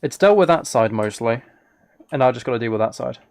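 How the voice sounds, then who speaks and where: A man casually chatting on a live game stream, thinking aloud in a relaxed way, close to the microphone. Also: mid-range voice, fairly quick, gravelly. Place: indoors at a computer.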